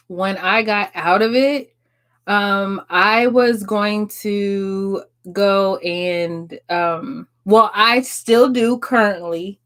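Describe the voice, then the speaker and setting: A woman's voice: medium pitch, a bit bright. A woman, chatting frankly and casually on a live stream, swearing and laughing often. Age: 30s. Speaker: livestream host answering viewers.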